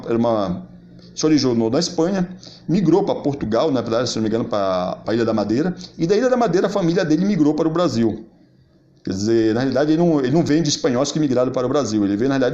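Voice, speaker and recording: A man's voice: fairly high pitch, mellow. Man rambling through political commentary. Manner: rambling, many restarts and "quer dizer". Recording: dull lo-fi recording.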